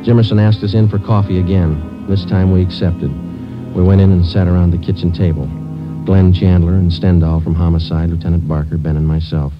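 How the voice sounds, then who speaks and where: An American man playing a stoic, businesslike police sergeant, narrating in a terse, deadpan 1950s radio drama style. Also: low-pitched, brisk, over music, natural voice. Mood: flat, grim. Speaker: lead actor and narrator. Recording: muffled old radio broadcast, musical score.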